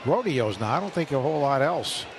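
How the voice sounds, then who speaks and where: A male radio play-by-play announcer with an American accent calling a baseball game, measured and lifting on big plays. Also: medium pitch, very animated, music in the background. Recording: radio broadcast with crowd noise behind.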